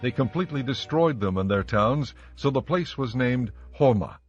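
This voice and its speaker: A man of medium pitch narrates scripture with solemn, measured delivery. His voice is slightly dull in the recording, with music behind it. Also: expressive. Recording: slightly muffled studio track with music.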